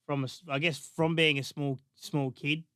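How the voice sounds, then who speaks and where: A bodybuilder, talking casually in an interview, laid-back with frequent fillers. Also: male voice, on the high side, flat delivery, moderate pace, bright, forceful tone, clean tone, dry recording, studio quality. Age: 30s. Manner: laid-back, lots of fillers like "you know" and "man".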